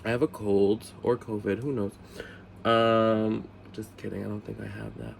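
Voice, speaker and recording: A man with a lowish voice, a little stuffed up from a cold, talks his way through a casual storytime at home. He rambles, drifts off topic and teases himself along the way. A faint hiss sits under the voice. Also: level tone, moderate pace, gravelly. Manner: chatty, rambling, self-mocking asides. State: congested from a cold. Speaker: vlog host.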